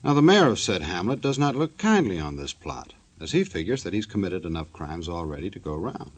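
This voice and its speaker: A man's voice: low voice, very animated, fairly quick, breathy edge. A man narrating a 1950s radio show from a script in a wry, tongue-in-cheek, mock-formal way. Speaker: announcer-narrator.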